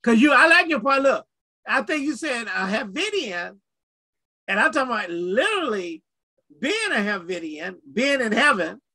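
A man in a faith discussion who talks with lively energy and keeps affirming the other speaker with quick encouragements. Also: very high-pitched.

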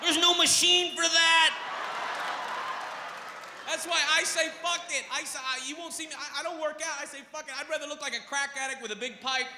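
High-pitched male stand-up comic, brash. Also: fairly even intonation, a little fast. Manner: brash, swearing, emphatic delivery that often rises to shouting. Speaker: stand-up comedian. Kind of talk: stand-up comedy routine. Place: large theater stage before an audience. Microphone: handheld stage microphone. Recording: echoey hall with noisy crowd. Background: audience noise and laughter.

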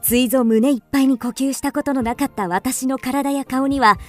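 An actress playing a wistful, introspective first-person storyteller in a literary radio drama. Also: female voice, high voice, fairly even intonation, brisk, faint music behind, lightly acted. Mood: wistful, relieved. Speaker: actor narrating.

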